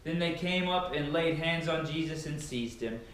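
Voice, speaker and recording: A man's voice: fairly high pitch, normal speed, a bit bright. A man narrating scripture as a dramatic reading. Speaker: Bible reader, narrator. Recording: heavily echoing room.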